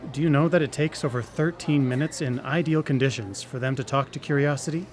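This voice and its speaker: An actor playing an earnest engineer in a live radio drama, explaining things in a measured, slightly hesitant manner. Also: male voice, medium pitch, darkish tone, faint music behind, lightly acted. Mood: earnest, explanatory.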